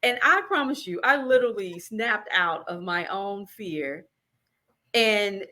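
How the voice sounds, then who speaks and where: A woman's voice: medium pitch, lively intonation, a little slow, sharp tone. A woman from Alabama hosting an interview, enthusiastic and encouraging, often stumbling over the start of a phrase. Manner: enthusiastic, encouraging, repeats words when starting. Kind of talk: casual interview chat.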